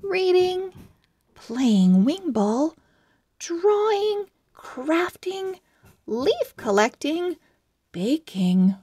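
A woman's voice: very high-pitched, big pitch swings, extremely slow, mellow, no room sound, voice pushed up, heavily acted. A woman reading as an enthusiastic young girl owl from a storybook diary, with exaggerated expressiveness. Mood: excited. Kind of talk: children's storybook read-aloud.

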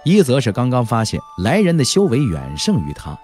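A man's voice: medium pitch, huge pitch swings, brisk, a little mellow. A man narrating a fantasy novel in a theatrical storyteller's manner, with dramatic emphasis. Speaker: audiobook narrator. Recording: narration over faint background music.

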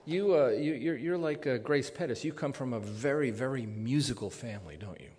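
An older American man hosting a live stage radio show, introducing and interviewing in a halting, conversational way. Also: medium pitch. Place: large theater stage. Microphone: vintage stand microphone. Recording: reverberant theater hall, faint noise.

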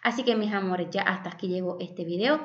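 A woman's voice: medium pitch, brisk, muffled recording. Chatty young Puerto Rican woman vlogger. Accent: Puerto Rican Spanish. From Puerto Rico. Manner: affectionate, chatty, addresses viewers as "my loves". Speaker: home vlogger. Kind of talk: voice-over vlog narration.

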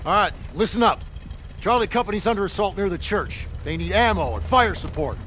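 An unyielding commanding officer barks curt orders in a war game. The voice is high and impatient, with faint vehicle noise behind. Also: male voice, lively intonation, a little fast, fairly bright tone. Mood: urgent, impatient. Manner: curt, barking. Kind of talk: cinematic video game acting.

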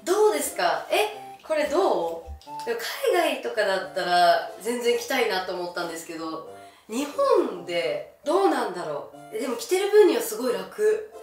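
A woman's voice: fairly high pitch, very animated, moderate pace. A young woman chatting casually to camera as she presents, with an exclamatory, bashful manner. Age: young adult. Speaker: gravure idol presenting outfits. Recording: roomy echo from camera distance. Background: faint music.

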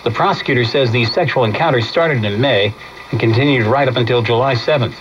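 Male newsreader, mid pitch voice, muffled old radio w/ clear noise. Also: brisk. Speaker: news reader. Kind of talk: radio news bulletin. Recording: noisy, dull vintage radio speaker.

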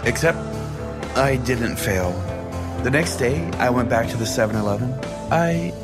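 A man's voice: medium pitch, lively intonation, measured pace. A man hosting a comedy podcast, telling it in a theatrical, mock-solemn way. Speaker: podcast host. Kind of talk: comic dramatic storytelling and show chat. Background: music.

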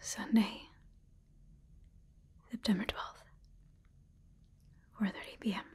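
Calm female sci-fi scientist acted by a young woman, dark breathy whisper, halting clinical dictation, ASMR roleplay. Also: medium pitch. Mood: clinical, hushed. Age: 20s. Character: calm, clinical female scientist examining an alien-infected patient.

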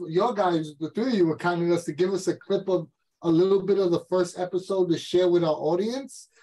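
A man hosts a casual interview stream. His voice is high for a man, and he sounds enthusiastic as he addresses guests and audience. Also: darkish tone, rough recording. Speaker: show host. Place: indoors, on a video call.

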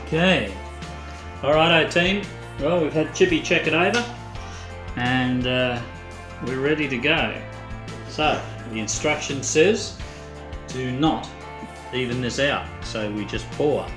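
A man's voice: mid-range voice, takes it slowly, pauses often, music in the background. An older Australian man giving a chatty, folksy vlog tour of his sailboat cabin, recorded on an action camera. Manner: chatty, folksy, thinking aloud. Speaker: sailing vlogger. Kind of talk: casual vlog narration.

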